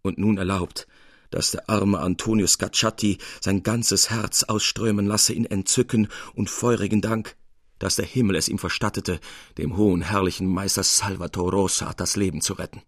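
A male reader plays an earnest young surgeon devoted to art in a literary audiobook. The voice is low and husky, close and dry. He speaks with fervent reverence in old-fashioned, formal language. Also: steady tone, normal speed, dry recording. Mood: grateful, devoted. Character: earnest, adoring young surgeon who loves painting.

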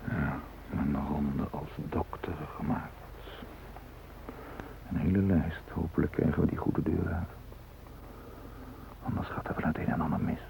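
Very deep, creaky-voiced brooding man. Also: fairly even intonation, measured pace, pauses often, mellow, exaggerated voice. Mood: preoccupied, businesslike. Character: self-possessed man posing as doctor among hostages. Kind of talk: radio drama interior monologue. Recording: muffled phone-like old radio recording, hiss.

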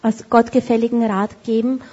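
A woman interpreting a sermon phrase by phrase, steady and with small self-corrections. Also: fairly high pitch, fairly quick. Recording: dull lo-fi recording.

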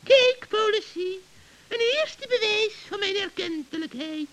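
A piercingly high old witch, creaky and scheming. She gushes and wheedles in sugary tones, with echoey reverb and hiss around her. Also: animated, unhurried, a bit bright, heavily acted. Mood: sweetly gushing. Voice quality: creaky, shrill. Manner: wheedling, gushing. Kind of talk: children's radio play acting. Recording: hissy old recording, echoey hall reverb.

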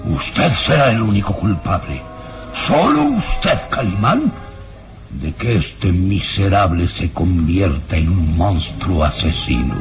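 An actor playing a sinister, menacing baron in a radio drama, with theatrical, insistent, drawn-out delivery. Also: male voice, deep voice, very animated, exaggerated voice. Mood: ominous, accusing. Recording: radio drama with loud music behind. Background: dramatic music.

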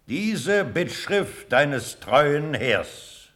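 Loyal old officer, strongly varied pitch. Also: male voice, very animated, drawn-out words, fairly bright tone, heavily acted. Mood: deferential, uneasy. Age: older. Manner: earnest, formal, declamatory. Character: loyal old male cavalry colonel, deferential yet stubborn. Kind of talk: classical German stage verse radio drama.

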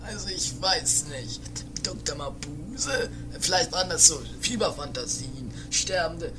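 Woman doing a low-voiced bumbling police sergeant. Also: lively intonation, measured pace. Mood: doubtful. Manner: stammering, hesitant, pompous. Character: bumbling, smug know-it-all male police sergeant. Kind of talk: amateur comedic radio play.

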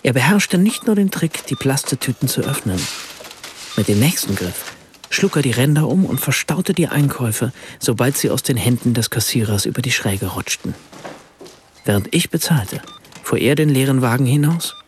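An actor narrating a radio drama as a self-conscious, ironic German writer, with faint noise behind. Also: male voice, mid-range voice, animated, normal speed, close to an everyday voice. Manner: ironic, reflective.

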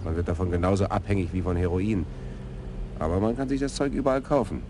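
An actor as a blunt, deep-voiced detective. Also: male voice, quick pace, background noise, lightly acted. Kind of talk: radio play acting.

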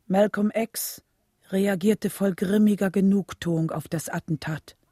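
A mellow, husky, solemn wife. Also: female voice, mid-range voice, steady tone, a little slow, no echo, studio quality. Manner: solemn, restrained, clearly phrased storytelling. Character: composed, grieving wife of a civil rights leader. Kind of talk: scripted radio drama narration and dialogue.

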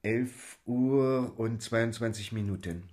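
Man playing French manservant, medium pitch, French accent, deferential, earnest, talkative, radio play. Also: takes it slowly, darkish tone, natural voice. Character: eager, deferential, worldly French manservant seeking a position. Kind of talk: radio drama acting.

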